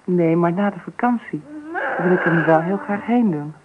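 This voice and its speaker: A woman's voice: fairly low pitch, moderate pace, narrow, tinny audio, heavy background noise, natural voice. An actress playing an earnest, polite young mother who is easily amazed, in a radio drama. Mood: polite, open.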